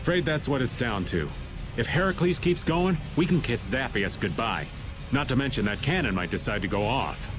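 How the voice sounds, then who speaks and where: A man's voice: mid-range voice, lively intonation, moderate pace, close to an everyday voice. Man playing a wry, drawling middle-aged fighter. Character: laid-back wisecracking middle-aged male fighter. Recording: game audio with loud music behind.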